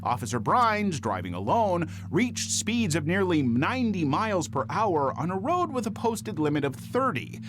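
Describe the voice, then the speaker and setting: Male true-crime narrator, fairly high voice with very animated intonation, faint noise behind. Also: moderate pace, hardly any pauses.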